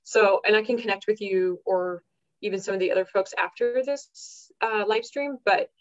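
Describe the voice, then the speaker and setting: Woman host on a livestream, mid pitch, affirming and casual, dull lo-fi sound. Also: normal speed. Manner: warm affirming backchannels, casual questions. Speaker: livestream host from an advocacy group. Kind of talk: livestream interview. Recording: dull lo-fi audio.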